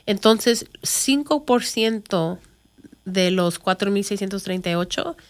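A woman's voice: medium pitch, a little slow. A woman from Chicago with an American accent, a native English speaker, giving an informal, conversational explainer as a real estate agent and mixing in English words and phrases. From Chicago, United States. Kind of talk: informal explainer talk to viewers.

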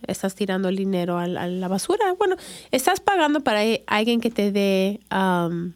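A woman's voice: mid-range voice, big pitch swings, normal speed, no room sound. A middle-aged woman from Chicago hosting a radio show, talking casually with many fillers and restarts. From Chicago, USA. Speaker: radio show host, real estate agent.

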